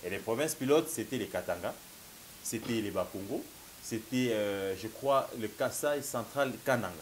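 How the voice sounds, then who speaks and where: A man's voice: on the low side, moderate pace. A man in his thirties with a Congolese French accent and a slight cold, arguing as a political analyst in an emphatic studio debate. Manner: emphatic, gesturing, repeats "mon cher ami". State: has a cold. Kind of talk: political talk show debate. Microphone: lapel. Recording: faint hiss.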